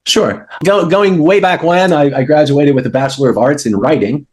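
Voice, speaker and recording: A man's voice: fairly high pitch, moderate pace, darkish tone. A man in his fifties telling his career story in an interview, acting out the remarks of people he quotes as he goes. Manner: anecdotal, acts out quoted dialogue. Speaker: sales entrepreneur.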